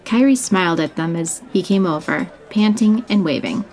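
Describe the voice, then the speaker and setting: A woman's voice: fairly low pitch, expressive, moderate pace, a little mellow, soft background music, a faint crowd. A woman reading scripted narration for a fantasy audio story.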